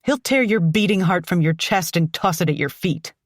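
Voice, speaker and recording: A woman's voice: low-pitched, animated, a little slow, breathy edge, no echo, clean studio sound, exaggerated voice. Woman doing a snarling foul-mouthed spitfire. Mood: defiant. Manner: snarling, cursing, defiant.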